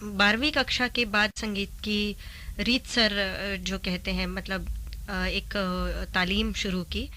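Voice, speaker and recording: A woman from Mumbai answering interview questions, haltingly searching for her words. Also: mid-range voice, steady tone, pushed and bright, slight background noise. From Mumbai, India. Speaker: interview guest, singer.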